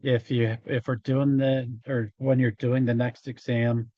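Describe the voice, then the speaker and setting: A male instructor lectures informally in a slightly hoarse, mid-pitched voice. He moves slowly and haltingly through his explanation. The sound is dry and a little dull, like a lo-fi microphone. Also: level tone, dry recording. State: hoarse after hours of talking. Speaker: college instructor. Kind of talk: informal statistics lecture, working through examples.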